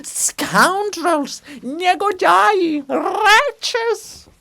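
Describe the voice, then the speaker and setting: Shrieking, spiteful statue. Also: male voice, extremely high pitch, expressive, extremely slow, gravelly, sharp tone, voice pushed up, exaggerated voice. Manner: shrieking insults. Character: fleeing petty male monument, shrill, spiteful, cursing.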